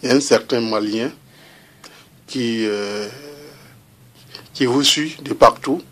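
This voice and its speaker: A man's voice: mid-range voice, takes it slowly, a bit bright. A man interviewing on camera, asking pressing questions in a hesitant way full of fillers.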